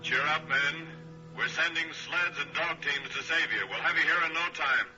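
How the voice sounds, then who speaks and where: Cheery rescue radio operator, old radio drama, highish piercing bright voice, dull recording. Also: male voice, normal speed.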